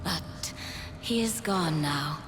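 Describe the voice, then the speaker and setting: An actress playing a seductive, manipulative demon mother in a video game, regal, silky and coaxing in measured phrases. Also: sits low, over music. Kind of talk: video game cutscene acting.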